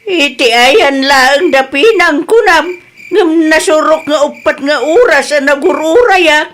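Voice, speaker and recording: An actress as a high-pitched Ilocano village wife and mother, chatty and easygoing. She talks in a radio comedy drama style over a noisy track with chirping insects. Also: a little slow, fairly bright tone. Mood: scolding, insistent.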